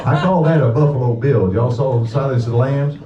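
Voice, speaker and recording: A man's voice: medium pitch, fairly quick. A man performing a live stage hypnosis comedy show, bantering crudely and profanely with the crowd. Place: indoor show venue. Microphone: audience camera far from the stage. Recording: distant, muffled, echoey venue with background noise.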